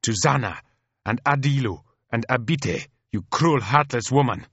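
Audiobook role: male narrator as defiant young royal woman, pitched up, very animated, snapping and hot-tempered. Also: moderate pace, slightly rough, no room sound, lo-fi sound, full character voice. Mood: furious, accusing. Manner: snapping, shrill outbursts.

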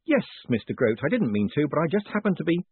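A man voicing a quick-witted, exasperated male postmaster in an audiobook story. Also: on the high side, big pitch swings, husky, dry recording, phone-quality sound, voice pushed up. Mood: brisk, sardonic.

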